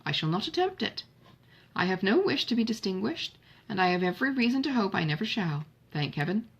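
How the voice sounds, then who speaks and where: A woman voicing a reserved, modest young gentleman in a novel, with a formal, self-deprecating manner. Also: mid-range voice, sing-song, fairly quick, husky. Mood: subdued.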